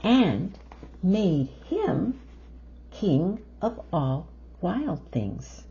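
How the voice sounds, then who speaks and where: A woman reading a picture book aloud to children in an expressive, drawn-out storytelling manner, full of wonder. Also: lowish voice. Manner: expressive, drawn-out phrasing, gasps of wonder and questions to young listeners. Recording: dull lo-fi sound with faint noise.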